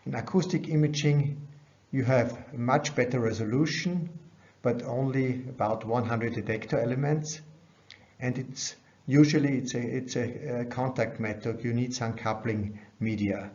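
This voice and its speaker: A man's voice: medium pitch, steady tone, moderate pace, darkish tone. Dull-sounding man giving a science lecture. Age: middle-aged. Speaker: researcher presenting at a conference. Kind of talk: scientific lecture over slides. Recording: dull, band-limited webcam audio.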